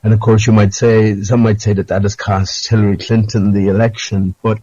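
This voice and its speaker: A man's voice: fairly low pitch, level tone, a little fast, warm tone. A man calling in to chat casually, hesitant and digressive with a conspiratorial bent, on lo-fi call audio. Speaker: caller.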